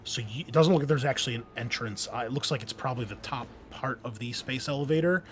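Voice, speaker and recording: A man narrating a tabletop roleplaying game as game master, improvising in a halting, conversational way. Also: mid-range voice, fairly bright tone, slight background noise. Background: background music.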